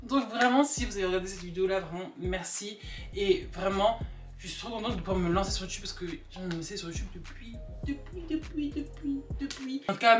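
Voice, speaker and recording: A woman gives her opinions in a casual, joking vlog style. She is animated and emphatic, often repeating words for punch. Her mid-pitched voice is picked up from a distance in an echoey room, with music playing. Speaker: vlogger. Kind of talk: casual comedic opinion chat to camera.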